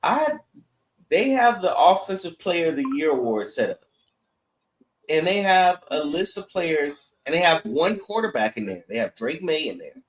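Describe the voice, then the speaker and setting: A man's voice: fairly high pitch, sing-song, moderate pace, rough recording. A man co-hosting a sports podcast, giving casual, opinionated takes emphatically and arguing through rhetorical questions.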